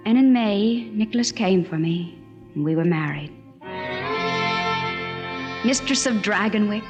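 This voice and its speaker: Actress for earnest, worried young wife, vintage radio melodrama: medium pitch, soft and tender, muffled broadcast with music. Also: female voice, lightly acted. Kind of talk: 1940s radio drama acting. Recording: dull old radio recording, music behind.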